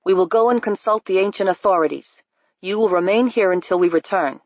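A woman voicing a grave, dignified male magistrate who speaks in measured, solemn pronouncements. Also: medium pitch, darkish tone, no room sound, dull audio, lightly acted.

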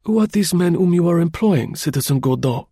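A man's voice: on the high side, expressive, brisk, dark tone, breathy edge, no echo, voice pushed up. A man playing a curt, scheming official. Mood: dry, curt. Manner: curt, dry, bland.

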